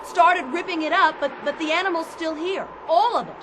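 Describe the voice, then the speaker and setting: Skeptical colony physician, radio drama, high-pitched, blunt, exasperated, clearly noisy background. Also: female voice, fairly quick. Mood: tense, analytical. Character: outspoken, skeptical woman physician at mining colony. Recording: noisy ambience behind the voice.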